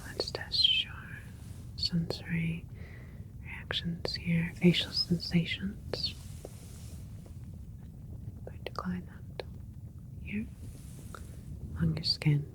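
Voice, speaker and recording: A woman playing a gentle alien scientist in an ASMR medical roleplay, whispering in a breathy, soothing, politely clinical way. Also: lowish voice, fairly even intonation, slow, pauses often, low-quality recording. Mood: attentive, clinical.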